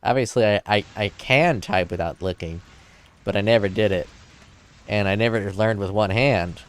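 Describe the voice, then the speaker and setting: A man with a low, smooth voice talks into a desk mic in front of his keyboard, streaming a game from home. He rambles in a relaxed, joking way and is a little drunk. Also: normal speed. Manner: laid-back, rambling, joking. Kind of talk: casual livestream chat, reading chat aloud.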